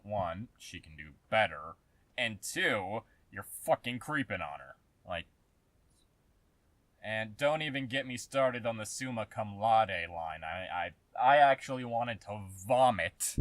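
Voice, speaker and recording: A man's voice: mid-range voice, a bit bright, no room sound. Man ranting in a dry, close recording. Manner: sarcastic, exasperated, heavy swearing. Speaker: host of a commentary channel. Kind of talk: casual spoken rant and commentary.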